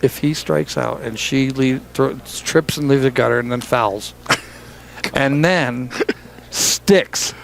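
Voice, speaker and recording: A man's voice: mid-range voice, takes it slowly, creaky, slight background noise. A man doing live bowling commentary in a joking, chatty way, teasing his partner and laughing often. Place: bowling center.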